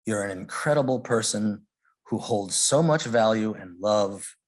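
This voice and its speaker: A man with a somewhat low, husky voice leads a guided meditation. He speaks very slowly and gently, leaving long pauses between short phrases. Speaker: meditation leader.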